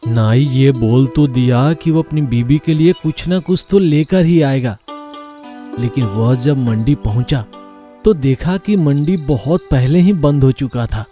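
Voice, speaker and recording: A man's voice: medium pitch, measured pace, warm tone, faint music behind. A man narrating a scripted children's story as the storyteller.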